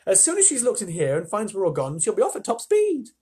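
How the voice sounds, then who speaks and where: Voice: medium pitch, wildly swooping pitch, fast, warm tone, pitched above the performer's own voice. A parent reading a storybook aloud, voicing a worried girl who speaks urgently in a raised voice. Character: anxious girl, eldest sister.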